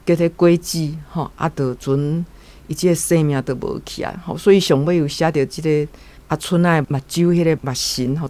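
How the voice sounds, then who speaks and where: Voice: medium pitch, a little mellow. A guest from Taiwan on a radio program, reflecting on a story with frequent tag particles. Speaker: guest commentator. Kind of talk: spoken literary commentary.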